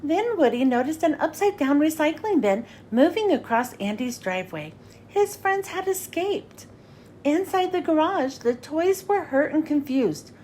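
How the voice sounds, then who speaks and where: A woman narrating a children's storybook as a read-aloud host, with expressive, clearly phrased delivery. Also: high voice, unhurried, slight background noise.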